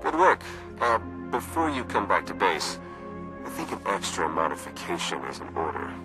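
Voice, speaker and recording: A low voice that swings freely in pitch, a shrewd and distrustful intelligence officer in a video game. He is brisk, wry and persuasive over prominent music. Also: big pitch swings. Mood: sly, persuasive.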